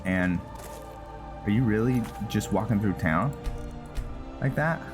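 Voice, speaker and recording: Slow, low-voiced man doing game commentary. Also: lively intonation. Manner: halting, thinking aloud. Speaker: gaming video host, player. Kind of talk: unscripted game commentary, roleplay musing. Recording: game music behind voice. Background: game music.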